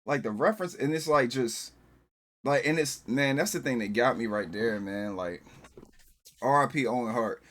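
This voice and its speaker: A man hosting a music reaction, talking casually in loose, rambling sentences and telling stories that pick up in excited bursts. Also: mid-range voice, normal speed. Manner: rambling, loose, with frequent filler phrases.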